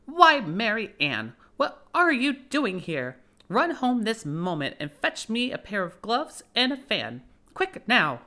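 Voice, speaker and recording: Anxious, bossy storybook rabbit acted by a man, pitched high, fussy and fretful. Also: huge pitch swings, slow pace, sharp tone, full character voice. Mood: angry, bossy. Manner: muttering, fretful, imperious. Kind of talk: audiobook character voice.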